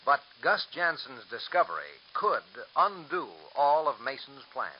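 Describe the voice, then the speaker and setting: A male announcer narrating recap and scene-setting for a radio serial, measured and formal. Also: mid-range voice, lively intonation. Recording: dull old radio recording with hiss.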